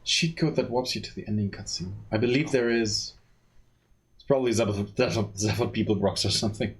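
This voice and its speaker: A man giving casual live speedrun commentary, explaining in a halting way. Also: fairly low pitch. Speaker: speedrunner commentating at a gaming marathon.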